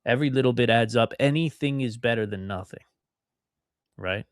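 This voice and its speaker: A man's voice: mid-range voice, moderate pace, no room sound, studio quality. A middle-aged man from outside Philadelphia hosting a solo podcast, talking in a rambling, conversational way with emphatic repetitions. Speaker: podcast host.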